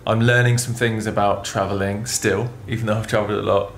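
A man's voice: lowish voice, fairly quick, a little hiss or hum. Chatty guy vlogging to camera. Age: young adult. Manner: chatty, excitable, talks to camera. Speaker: travel vlogger. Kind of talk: casual vlog narration. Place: car, outdoors and hotel room. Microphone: camera held at arm's length.

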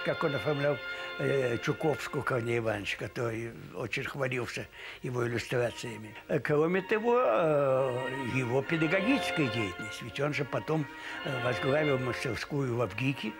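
Elderly man, interview reminiscence, halting effusive delivery, lapel mic, clear music behind. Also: medium pitch. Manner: halting, effusive, searching for words. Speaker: interviewee recalling a colleague. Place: indoors, book-lined room. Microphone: lapel microphone.

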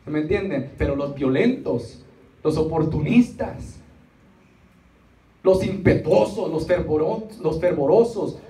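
A man's voice: a little high, animated, mellow. Emphatic male preacher in a roomy space. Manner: emphatic, drawing out key words, pausing for congregation responses. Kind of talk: Spanish-language church sermon with rhetorical repetition and acted asides. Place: small church meeting room, indoors. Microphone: handheld microphone, recorded from the seats. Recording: roomy, distant audience recording with faint noise.

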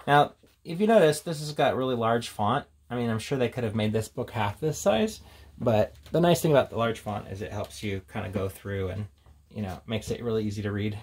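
A man's voice: lowish voice, brisk, husky. A man giving a casual, unscripted, rambling commentary as a tabletop game reviewer, reading out headings between offhand remarks.

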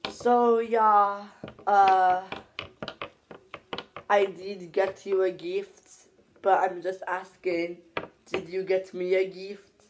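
A man's voice: sits high, little pitch movement, extremely slow, pauses often, background noise. A teen boy doing a cheeky Mexican puppet man. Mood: chummy. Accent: put-on Mexican. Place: home dining room. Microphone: laptop webcam.

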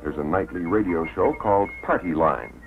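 An American man narrating a 1950s promotional documentary in a measured, deliberate way with dramatic pauses. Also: deep voice. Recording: tinny old film soundtrack, faint music.

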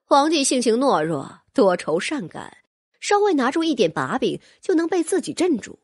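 A woman's voice: high-pitched, very animated, moderate pace, darkish tone, no echo, studio quality. A woman reading a fantasy novel as scripted audiobook narration.

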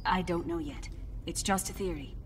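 A woman's voice: fairly low pitch, moderate pace, slight background noise, natural voice. A woman voicing a cautious, hesitant ice scientist. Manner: hesitant, careful. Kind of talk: video game cutscene acting.

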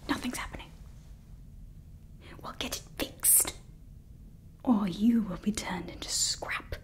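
A woman's voice: mid-range voice, takes it slowly, lightly acted. Whispering woman playing a haughty evil scientist. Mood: irritated, scolding. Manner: mostly whispered, scolding and fussy. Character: haughty female evil scientist, scornful of staff, doting on her creation. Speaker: ASMR roleplay performer. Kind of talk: ASMR roleplay. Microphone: close sensitive ASMR mic.

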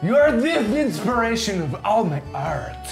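A man's voice: sits high, very animated, normal speed, gravelly, music in the background, full character voice. A man playing a pompous, theatrical French artist host, in a mock French accent with broken English. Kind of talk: comedic art show hosting.

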